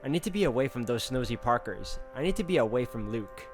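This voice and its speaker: A man with an average-pitched voice hosts a visual novel walkthrough. He moves between reading the text and casual commentary. Music plays clearly behind him. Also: animated, fast. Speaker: gaming video host.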